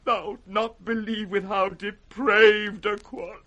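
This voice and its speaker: An old king in 1960s radio Shakespeare, slow and piercingly sharp. He is proud and volatile, a wounded ruler unravelling in grand, wrathful speech. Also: sits high, level tone, highs cut off, heavily acted. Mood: bitter grief. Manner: grand, volatile, swinging from thunder to broken murmurs.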